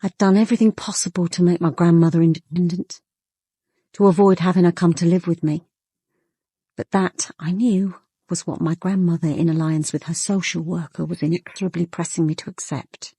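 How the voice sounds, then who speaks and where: A young English policewoman telling her own story in a radio drama. Her voice is very low and creaky, close and dry. She sounds guarded and tired, confiding rather than announcing. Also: fairly even intonation, a little fast, warm tone, no room sound. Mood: strained, resentful. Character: young female police inspector narrating her private life. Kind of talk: first-person radio drama narration.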